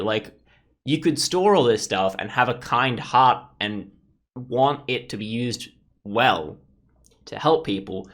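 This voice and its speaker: Young male gaming host, mid-pitched voice, loose rambling commentary, casual swearing. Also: a bit bright. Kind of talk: casual let's play commentary.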